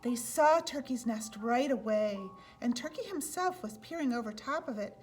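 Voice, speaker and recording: Woman storyteller, fairly high voice. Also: expressive, normal speed, darkish tone, husky, faint music behind. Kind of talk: children's picture book read-aloud.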